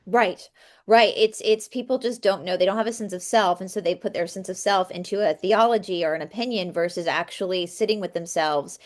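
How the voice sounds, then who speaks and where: A woman's voice: lowish voice, brisk. A woman co-hosting a casual chat, talking passionately and rambling on with restarts. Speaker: co-host.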